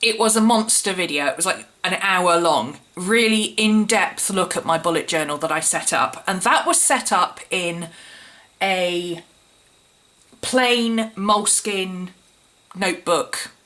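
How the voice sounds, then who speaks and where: A woman with a cold, her voice croaky and husky, chatting casually as she explains her journal step by step. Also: fairly low pitch, unhurried, fairly bright tone. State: hoarse from a cold. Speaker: planner YouTuber. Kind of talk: casual show-and-tell vlog.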